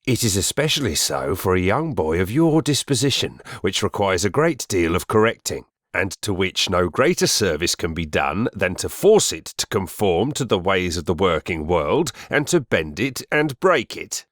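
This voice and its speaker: Man acting a grave, deep-voiced stern stepfather. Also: sing-song, fairly bright tone, no echo, clean studio sound. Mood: stern lecturing. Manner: grave, pompous, deliberate. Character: stern, cold middle-aged stepfather, severe and domineering. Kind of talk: dramatised audiobook acting.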